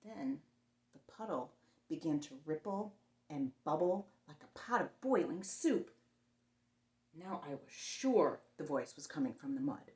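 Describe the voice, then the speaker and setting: A woman's voice: sits low, big pitch swings, unhurried, darkish tone, roomy sound. A woman hosting story time, reading a picture book expressively and explaining things simply for young children.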